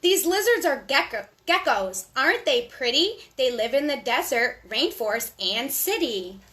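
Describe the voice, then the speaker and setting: A woman with a high voice reads a picture book aloud to young children. Her delivery is slow and sing-song, and she pauses for small asides to the kids listening. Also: sing-song, bright, forceful tone. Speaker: children's storytime host.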